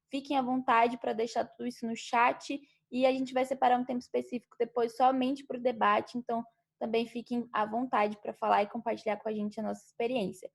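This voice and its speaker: A woman's voice: fairly high pitch, darkish tone. A woman hosting an online panel, welcoming the audience and introducing guest speakers in a presenter's style. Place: remote video call.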